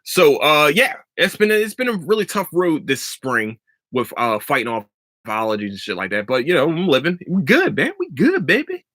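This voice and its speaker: A man hosting a solo podcast, talking in a rambling, profane and excitable way and laughing often, a little stuffy from allergies. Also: on the high side, normal speed, no echo, studio quality. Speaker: podcast host. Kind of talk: solo casual podcast talk.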